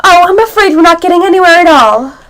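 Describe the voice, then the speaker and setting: A very high, girlish voice from a young female actor plays a polite little girl in an amateur radio play. She is earnest and bewildered by everything around her. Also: expressive, fast, fairly bright tone, low-quality recording.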